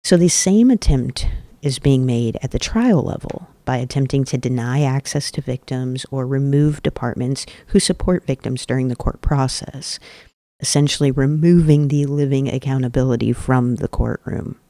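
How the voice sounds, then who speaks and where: A man hosting an advocacy podcast, speaking earnestly and emphatically with deliberate repetition, his voice a little off today. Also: mid-range voice, dark tone, no room sound. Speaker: podcast host. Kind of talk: advocacy commentary.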